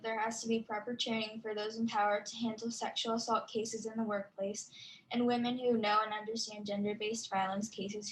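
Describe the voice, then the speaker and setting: Young woman w a slightly high voice reading her group's slides over a video call, steady and measured, trips on a word now and then. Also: rough recording. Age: young adult. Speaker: youth ambassador presenter. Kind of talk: reading a prepared presentation.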